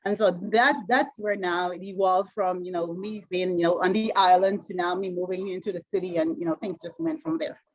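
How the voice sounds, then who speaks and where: A middle-aged woman from the Bahamas with a Bahamian accent, answering interview questions in a halting way, full of fillers and restarts. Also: lowish voice, steady tone, lo-fi sound. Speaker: interview guest, retired sprinter.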